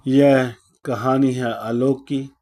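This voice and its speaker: A man narrating a scripted moral story in a solemn, deliberate manner with frequent pauses. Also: medium pitch, fairly even intonation, warm tone.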